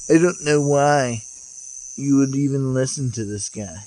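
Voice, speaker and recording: A man speaking as a vlogger in a casual, off-the-cuff spiritual monologue, musing in broken phrases with pauses. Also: medium pitch, darkish tone, slight background noise, rough recording. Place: outdoors, sitting in a rocking chair. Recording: outdoor recording with chirping insects. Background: cicadas or crickets.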